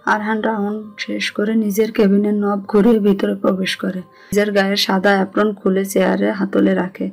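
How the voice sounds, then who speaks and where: A woman's voice: medium pitch, completely flat delivery, moderate pace, gravelly, darkish tone, husky. A woman with a Bangladeshi accent reading scripted narration of a romantic story. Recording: music under the voice.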